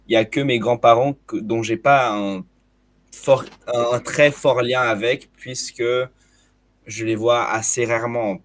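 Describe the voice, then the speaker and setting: A young man with a slight, hard-to-place foreign accent, talking as a guest in a casual discussion and often restarting his sentences. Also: fairly low pitch. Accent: slight hard-to-place foreign accent in French. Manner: hesitant, many restarts and repeated words.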